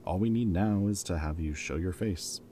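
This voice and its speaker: A man's voice: low voice, normal speed, mellow, slight background noise, close to an everyday voice. A man playing a teasing but caring ex-villain in an intimate roleplay audio drama, speaking in casual, wry banter. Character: teasing, caring male ex-villain planning with old hero friend.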